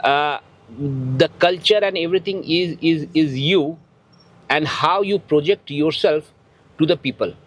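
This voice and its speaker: A man's voice: a little high, a little slow, slight background noise, low-quality recording. A middle-aged man with an Indian accent answers in an unscripted interview, repeating words and phrases as he goes. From India, Bihar. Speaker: chef, interviewee. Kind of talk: unscripted interview talk mixing English and Hindi.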